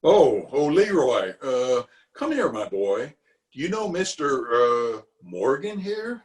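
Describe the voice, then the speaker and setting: Radio play uncle, male actor: middle-aged, blustering, kindly grump, drawn-out hesitant lines with animated swoops. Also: medium pitch. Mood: warm, approving. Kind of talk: 1940s radio comedy acting.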